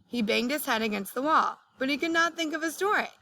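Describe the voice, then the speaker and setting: A woman reading a storybook aloud like a teacher, speaking clearly to young listeners. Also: high-pitched, animated, a little fast, bright, forceful tone, a little hiss or hum. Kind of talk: children's storybook read-aloud.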